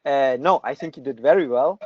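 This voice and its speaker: A man's voice: medium pitch, a little fast, slightly rough, dry recording, highs cut off. A man with a German accent hosting a podcast interview, friendly and filling gaps with "uh". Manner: friendly, with filler "uh" and repeats. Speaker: podcast host. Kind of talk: casual interview talk.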